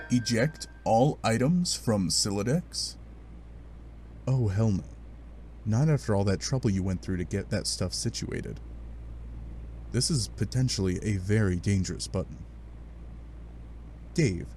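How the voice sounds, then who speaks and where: Low-voiced man narrating with very lively swings. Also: noisy background. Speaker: narrator of a fan dub read-along. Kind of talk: animated scripted narration of a comic adventure.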